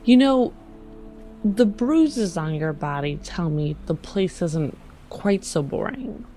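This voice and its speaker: Low, unhurried woman playing tabletop games. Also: expressive, dark tone, faint music behind. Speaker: player in a tabletop game. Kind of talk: casual improvised roleplay.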